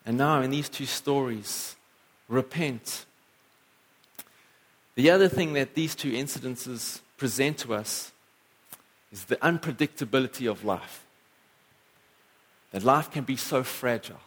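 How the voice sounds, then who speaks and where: A man's voice: a little slow, long pauses, breathy edge. A pastor preaching a sermon in church, earnest, lifting and dropping his voice for emphasis. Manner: earnest, rises and falls in pitch for emphasis.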